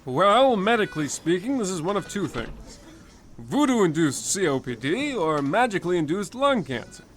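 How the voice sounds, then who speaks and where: Man as pompous radio-play doctor, very high voice, scolding, bossy, music behind. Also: very animated, normal speed. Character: brusque, irritable quack doctor, bossy and menacing. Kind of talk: comedic old-time radio play acting.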